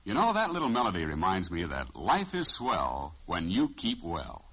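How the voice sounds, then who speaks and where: An American man delivering a 1950s radio advertising pitch as the commercial announcer. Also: wildly swooping pitch, moderate pace, a bit bright, breathy edge, soft background music. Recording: muffled vintage radio recording.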